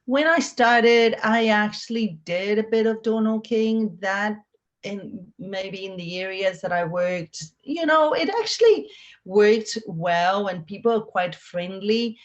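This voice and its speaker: A woman's voice: mid-range voice, takes it slowly, muffled recording. A middle-aged woman from Argentina with an Argentine Spanish accent, a real estate agent talking in a conversational interview, chatty and upbeat with lots of fillers and restarts.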